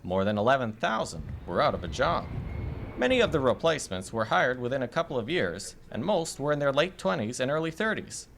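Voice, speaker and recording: Male reporter reading scripted investigative narration, medium pitch, highly varied intonation. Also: sing-song, fairly quick, vocal fry, a bit bright, a little hiss or hum. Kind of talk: scripted news documentary narration.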